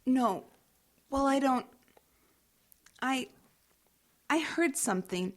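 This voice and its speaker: An actress plays a shaken young woman who is new at a radio theater. Her voice is high and halting, tripping over words. She is earnest and anxious, acted in a natural, conversational style. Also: animated, full character voice. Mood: shaken, upset. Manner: halting, stammering, upset. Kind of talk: naturalistic audio drama acting.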